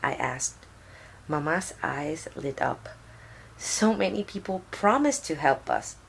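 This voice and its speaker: Woman, Puerto Rican accent, slightly low husky voice, children's storybook narrator with simple, deliberate delivery. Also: expressive. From Puerto Rico. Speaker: storyteller reading aloud to children. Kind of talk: children's picture-book read-aloud.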